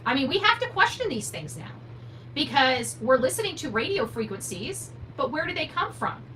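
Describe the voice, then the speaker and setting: A woman's voice: high voice, very animated, normal speed, bright, forceful tone, rough recording. A woman hosting a true crime livestream, speaking off the cuff in a blunt, opinionated, emphatic way and answering viewer comments. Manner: blunt, opinionated, emphatic, asks rhetorical questions. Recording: noticeable background noise with hum.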